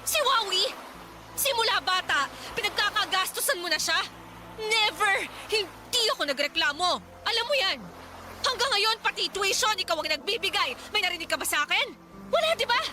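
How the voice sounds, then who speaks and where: Very high-pitched, defiant scolding Filipino wife. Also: female voice, expressive, moderate pace. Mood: furious, bitter. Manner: confrontational, sarcastic, scolding. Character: defiant, resentful gambling wife of an overseas worker. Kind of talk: Filipino radio drama acting.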